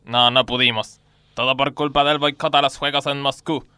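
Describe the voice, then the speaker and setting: A man's voice: mid-range voice, level tone, moderate pace, bright, forceful tone, clear, resonant tone, no room sound, close to an everyday voice. A man as a piercing, earnest Bulgarian woman. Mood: earnest, proud. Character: earnest Bulgarian woman greengrocer, former synchronised swimmer. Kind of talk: radio sketch comedy acting.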